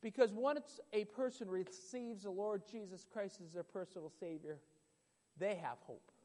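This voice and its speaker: Loud preacher in a reverberant hall. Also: male voice, high voice, normal speed. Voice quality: loud voice at times. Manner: emphatic, repetitive preaching, builds in intensity. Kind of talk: sermon.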